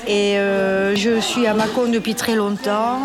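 A woman's voice: mid-range voice, level tone, measured pace. A woman in her sixties from southern France with a Midi accent, talking about herself in an interview. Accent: southern French (Midi). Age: 60s. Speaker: hair salon client being interviewed. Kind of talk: personal interview. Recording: music playing loudly behind the voice. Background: music.

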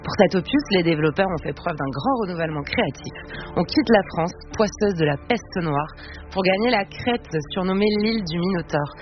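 High-voiced male radio host over music. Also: expressive, a little fast. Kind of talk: scripted radio presentation and interview. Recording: dull radio sound over music bed.

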